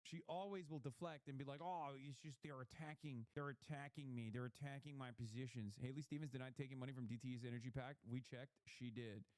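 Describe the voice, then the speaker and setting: A man's voice: mid-range voice, steady tone, brisk, hardly any pauses, a little mellow, no room sound. A man from Michigan giving casual, profane live-stream commentary on a political debate, often mocking people with put-on quotes.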